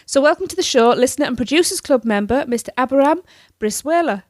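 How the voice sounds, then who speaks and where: A woman's voice: sits high, expressive, fast, no room sound, studio quality. A woman co-hosting a podcast, upbeat and giggly as she chats and asks questions. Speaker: podcast co-host. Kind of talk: casual podcast chat.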